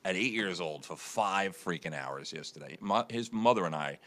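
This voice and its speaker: A man's voice: sits low, animated, normal speed, bright, forceful tone. A man hosting a casual internet radio morning show, telling a long rambling story with many restarts.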